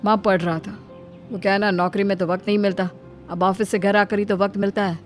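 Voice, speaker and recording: A woman voicing a dutiful, earnest son of thirty in an animated moral story. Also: medium pitch, quick pace, close to an everyday voice. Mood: earnest, explaining. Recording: music behind the voice. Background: background music.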